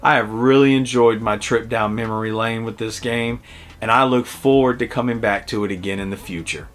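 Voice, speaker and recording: A man in his early forties giving a casual, conversational video game review in a halting, reflective way. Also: mid-range voice, steady tone, soft background music. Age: early 40s.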